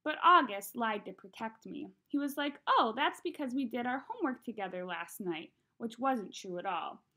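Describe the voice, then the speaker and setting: A young woman reads a children's novel aloud in an expressive, conversational way, acting out the dialogue. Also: on the high side, moderate pace. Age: young adult. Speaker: read-aloud narrator. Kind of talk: expressive audiobook-style reading of a children's novel. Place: small room at home.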